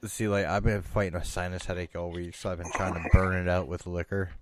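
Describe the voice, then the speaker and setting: A man's voice: sits low, little pitch movement, brisk, crowd noise. A man hosting a casual podcast chat, cracking crude jokes and swearing freely in loose banter. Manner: profane, jokey banter. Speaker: podcast host.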